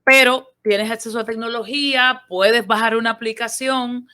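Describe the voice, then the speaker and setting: A woman with a Dominican accent, co-hosting a radio talk program and conversing in a relaxed, interjecting way. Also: on the high side, moderate pace. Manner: conversational, interjects and completes others' phrases. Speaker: radio show co-host.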